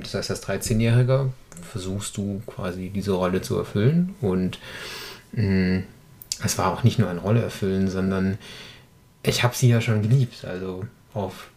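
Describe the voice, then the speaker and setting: A man's voice: deep voice, steady tone, a little slow, a little mellow. A man in his thirties, a podcast guest, talking reflectively in casual conversation with many hesitations. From rural Germany. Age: 30s.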